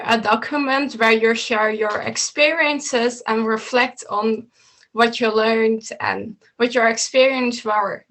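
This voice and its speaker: A woman's voice: on the high side, fairly bright tone, rough recording. A woman coach explaining slides in an online meeting, talking steadily and instructively, with a few slips and restarts, over a video call from a home room. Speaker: coach presenting to students. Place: home room with bookshelves, indoors.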